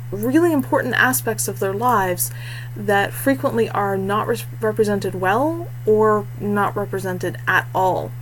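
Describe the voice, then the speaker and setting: A woman author gives a relaxed, informal introduction to her channel, talking in a chatty, self-deprecating way. Her pitch sits in the middle range, and a faint hum runs under the recording. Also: rough recording. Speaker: indie fantasy author.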